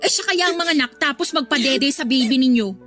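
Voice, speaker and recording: A woman's voice: sits high, expressive, a little fast, fairly bright tone, faint music behind. An actress in a radio drama playing a supportive female best friend who reassures and encourages. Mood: indignant. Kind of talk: Tagalog radio drama acting.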